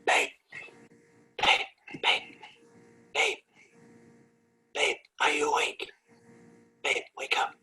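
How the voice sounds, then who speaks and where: A man playing a needy, childlike rock-star husband, pestering in a hushed, wheedling, repetitive way in a comic script reading. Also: on the high side, measured pace. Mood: eager wheedling. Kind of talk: comic script read aloud.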